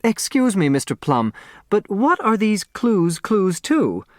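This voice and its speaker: A man as a fussy, high-voiced puzzled lady. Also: big pitch swings, slow, no room sound, clean studio sound, full character voice. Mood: puzzled insistence.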